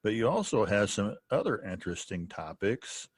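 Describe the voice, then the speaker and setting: A man co-hosting a podcast interview in a casual, halting, chatty way, often chuckling. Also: fairly low pitch, sing-song, no room sound. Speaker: podcast co-host.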